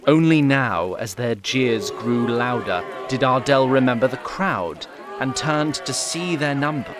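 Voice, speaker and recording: A man's voice: mid-range voice, lively intonation, a little slow, background noise. A man narrating a scripted fantasy audio drama in a literary, wry storytelling manner.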